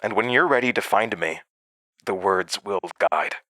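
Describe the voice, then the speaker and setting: An actor in an audio drama playing a mysterious, volatile male entity living inside someone's head, speaking in a halting, cryptic way. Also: lowish voice, bright, forceful tone, no room sound, low-quality recording. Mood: ominous. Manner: halting, cryptic, swinging from muttering to outbursts. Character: fragmented mysterious male entity implanted in another's mind, volatile. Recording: music behind.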